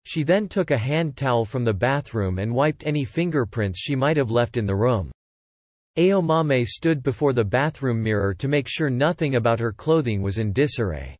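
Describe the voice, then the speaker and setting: A man narrating fiction from a script as an audiobook narrator, in a measured, descriptive way. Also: mid-range voice, expressive, a little mellow, no room sound.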